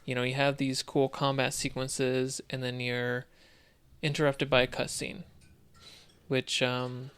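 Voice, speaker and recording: A man's voice: mid-range voice, monotone, measured pace, a bit bright, clean tone. A man co-hosting a casual discussion of video games, giving his opinions in a hesitant, conversational way. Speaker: podcast co-host.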